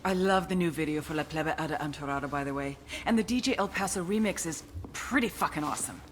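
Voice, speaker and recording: An actress playing a cool female intelligence agent who coaxes with controlled, quiet menace in game dialogue. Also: sits low, quick pace, slight background noise, natural voice. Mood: smooth, teasing. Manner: coaxing, controlled, quietly threatening. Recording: dialogue over music.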